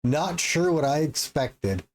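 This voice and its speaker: A man's voice: on the high side, animated, takes it slowly. A man streaming a game live, reacting with jokes and swearing and working out numbers aloud. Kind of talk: casual live-stream commentary.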